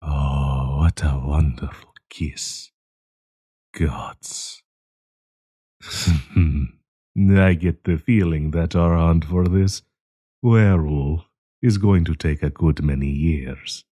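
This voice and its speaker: A man playing a sinister, possessive werewolf professor in an intimate audio roleplay, coaxing and menacing, with a husky, breathy voice. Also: extremely low pitch, steady tone, mellow, no echo, clean studio sound, heavily acted. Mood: coaxing, predatory. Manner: slow, coaxing, menacing endearments.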